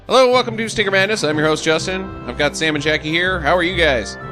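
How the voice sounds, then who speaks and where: A man's voice: on the high side, quick pace, over music. A man hosting a casual movie review podcast, exasperated and sarcastic, laughing often. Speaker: podcast host.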